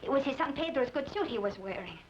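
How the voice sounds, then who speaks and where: A woman's voice: high voice, expressive, quick pace. A woman playing an urgent, earnest young Mexican woman with a put-on Spanish accent, in an old radio drama. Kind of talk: 1950s radio drama acting. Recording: muffled old radio broadcast with hiss.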